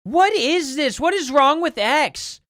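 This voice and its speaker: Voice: high-pitched, lively intonation, slow pace, fairly bright tone, no room sound, clean studio sound. An American livestream host chatting casually and informally to viewers, rambling along and reacting to things. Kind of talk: casual livestream chat, reacting to clips and news.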